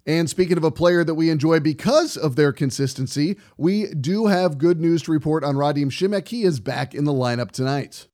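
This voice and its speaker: Casting: man with a higher-pitched voice, pregame sports host, wordy and fluent on air. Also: moderate pace, few pauses, a little mellow. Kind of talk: pregame show hosting and commentary.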